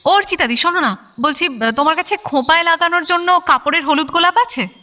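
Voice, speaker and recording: High, bright, girlish young bride. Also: a little fast. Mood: coaxing. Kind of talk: audio drama acting.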